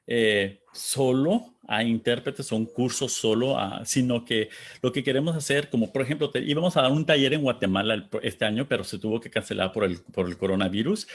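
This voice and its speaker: A man from Texas hosting a panel, talking casually and reflectively, pausing to search for words and reading out audience comments. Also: mid-range voice. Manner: thoughtful, searching for words, pauses.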